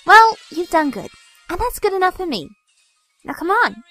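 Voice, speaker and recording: Woman playing an earnest, high-voiced pony scientist. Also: big pitch swings, normal speed, sharp tone, soft background music, full character voice. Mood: earnest, hopeful. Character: earnest young female pony scientist, optimistic travel companion. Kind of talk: scripted audio drama dialogue.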